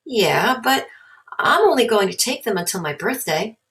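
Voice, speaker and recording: A woman's voice: mid-range voice, big pitch swings, moderate pace, a bit bright, pitched above the performer's own voice. An eager young storybook boy, read by a woman. He talks plainly and earnestly, like a kid determined to get his way. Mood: defensive.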